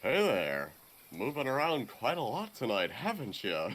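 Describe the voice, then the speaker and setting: Man putting on an awkward shady merchant, animated delivery. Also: mid-range voice, measured pace, slight background noise. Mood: breezy. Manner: hesitant, salesman-like. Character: shady, awkward, flirty male traveling merchant.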